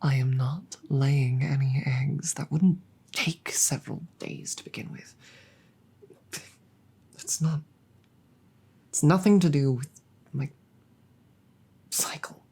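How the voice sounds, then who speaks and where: A woman voicing an embarrassed, affectionate dragon girlfriend in a roleplay audio drama, speaking formally and apologetically with many halting stammers. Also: very deep voice, steady tone, vocal fry, mellow, husky, full character voice. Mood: evasive, embarrassed.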